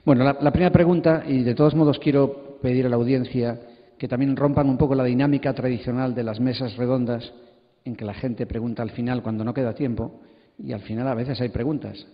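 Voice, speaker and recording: A man's voice: mid-range voice, fairly even intonation, fairly quick. Reverberant middle-aged Spanish man moderating. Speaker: panel moderator. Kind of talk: hesitant spoken moderating. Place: large hall at a congress. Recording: muffled, long hall reverb.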